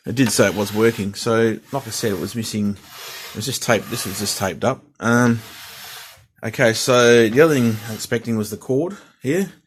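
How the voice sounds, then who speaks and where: A man giving a casual, rambling, unscripted show-and-tell as a hobbyist, halting as he goes, with a slightly husky voice. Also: lowish voice, slight background noise. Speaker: hobbyist presenter showing a restored CB radio.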